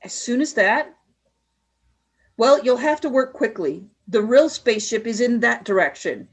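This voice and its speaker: A woman voicing a cold, commanding female alien leader in a fan radio play, giving flat, clipped orders. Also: fairly high pitch, a little slow, breathy edge, exaggerated voice.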